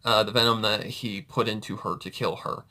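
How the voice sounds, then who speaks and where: A man's voice: on the low side, steady tone, moderate pace, pushed and bright. A man giving casual, off-the-cuff reaction commentary, rambling and often correcting himself. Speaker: reaction video host.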